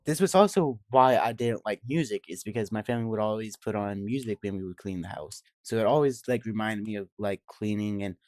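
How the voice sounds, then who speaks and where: A man's voice: lowish voice, a little fast, few pauses, darkish tone, no room sound, clean studio sound. A man from Georgia, co-hosting a podcast and asking questions in casual chat. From Georgia, United States.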